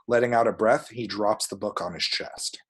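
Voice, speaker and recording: Male narrator, low and husky, reading stage directions at a script table read. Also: normal speed. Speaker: reader of action descriptions at a table read. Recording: home recording.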